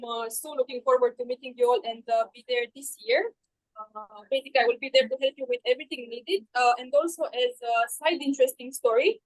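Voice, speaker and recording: A woman's voice: high voice, no echo, low-quality recording. A woman from Romania introducing herself as volunteer staff on a video call, speaking in a friendly, easygoing way. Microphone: dry video call mic.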